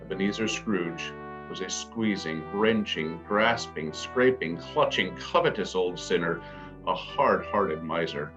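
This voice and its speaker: A man's voice: sits low, animated, unhurried. A man reading a scripted story as narrator. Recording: dull lo-fi audio. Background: loud brass music.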